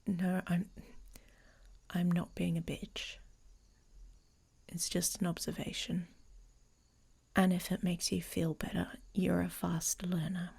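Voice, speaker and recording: A woman playing a dominant, teasing female werewolf in an intimate ASMR audio roleplay, with a breathy, whispery voice and a commanding manner. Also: fairly low pitch, monotone, slow pace, pauses often, darkish tone, full character voice. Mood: smug, teasing.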